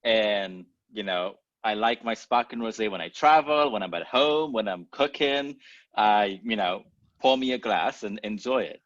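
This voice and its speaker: A man from Trinidad and Tobago, a podcast guest talking in an easygoing, relaxed way. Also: on the low side, no echo, lo-fi sound. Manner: relaxed, easygoing, quick to laugh. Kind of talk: casual interview conversation.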